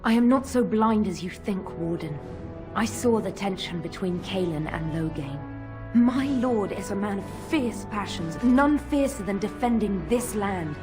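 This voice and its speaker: A stern female knight played by an actress in a fantasy game. She speaks formally and defiantly in a medium-pitched voice, loyal to her lord, while loud music swells behind her. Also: animated, a little slow, lightly acted. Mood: firm justifying. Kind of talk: dramatic fantasy game dialogue.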